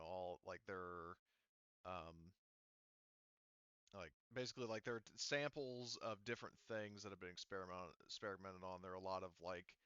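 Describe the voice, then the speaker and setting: Male game master, crisp and forward, casual halting delivery, dry close sound with slightly muffled fidelity. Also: low voice, sharp tone, no echo. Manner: halting, filler-heavy, rambling explanations. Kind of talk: casual narration of tabletop game.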